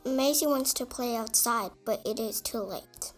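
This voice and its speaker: A woman narrates a children's picture book in a careful read-along manner. Her voice is a little high and very slow. Soft music plays faintly under her. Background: faint added music.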